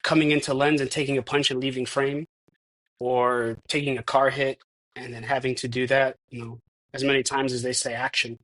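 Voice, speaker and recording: A man's voice: mid-range voice, flat delivery, normal speed, a bit bright, low-quality recording. A man of thirty-one, a stuntman guest in a casual interview, talking reflectively with many restarts. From United States. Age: 30s.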